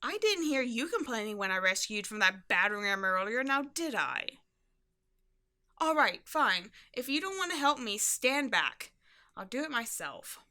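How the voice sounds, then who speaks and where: A woman's voice: a little high, sing-song, normal speed, pushed and bright. A woman acting a brash, cheeky tomboy archaeologist in a roleplay audio drama, bantering with the listener with defensive bravado. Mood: cheeky, indignant. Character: brash tomboy adventurer archaeologist, cocky, flustered, secretly sweet.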